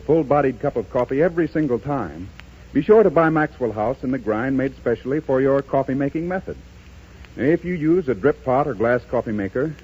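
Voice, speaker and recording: A man's voice: medium pitch, husky, muffled recording. An American man reading a scripted 1930s radio advertisement as announcer, earnest and emphatic like a salesman, on a vintage broadcast recording.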